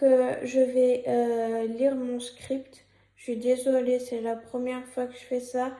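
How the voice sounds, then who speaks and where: A woman's voice: a little high, vocal fry, warm tone, echoey room. A young woman with a cold and a sore throat, talking in a hesitant, casual way with many fillers and restarts, partly reading from a script. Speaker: YouTuber. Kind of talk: casual vlog commentary, partly read from a script.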